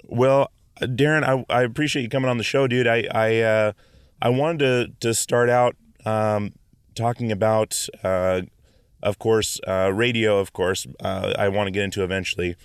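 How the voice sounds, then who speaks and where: A man hosting a podcast in a relaxed, casual way, with lots of fillers and restarts. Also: on the low side, moderate pace, clean tone, dry recording, clean studio sound. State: hoarse after stomach flu. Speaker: podcast host. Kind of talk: casual podcast intro and interview.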